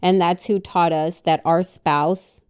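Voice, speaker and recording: A woman's voice: on the low side, steady tone, takes it slowly, a little mellow, clean tone. A woman in her thirties, co-hosting a podcast, giving earnest advice in casual chat. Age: 30s. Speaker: podcast co-host.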